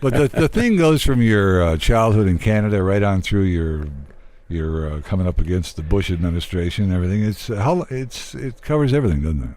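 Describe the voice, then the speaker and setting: A man's voice: low-pitched, animated, brisk, darkish tone. A man hosting a casual studio interview, speaking in an admiring way with short interjections. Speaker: podcast and radio interviewer. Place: radio studio.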